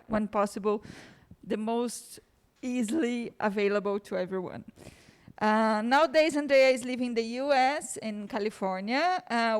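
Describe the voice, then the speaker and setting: Chatty woman introducing a guest speaker. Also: on the high side. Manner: warm, chatty, laughs between remarks. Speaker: course host introducing a speaker. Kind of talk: casual spoken introduction. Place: lecture room.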